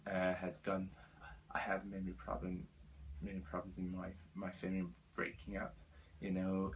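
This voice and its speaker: A Brazilian man in his twenties, a native Portuguese speaker, talks to camera in earnest, halting English with a Brazilian Portuguese accent. His voice sits on the low side, and he pads his sentences with fillers and restarts. A faint hum of ambient noise runs underneath. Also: poor audio. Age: 20s. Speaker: vlogger. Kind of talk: personal storytelling to camera.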